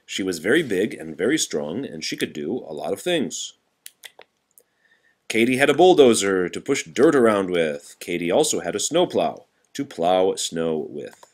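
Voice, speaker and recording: A man reading a children's picture book aloud to a child in an expressive, patient way. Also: low voice, normal speed. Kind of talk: storybook read-aloud to a child.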